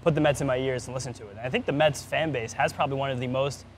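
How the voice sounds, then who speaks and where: A man's voice: medium pitch, racing. A man co-hosting a casual sports podcast, talking in an informal, rambling way. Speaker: podcast co-host. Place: outdoors in Florida. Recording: outdoor urban background noise.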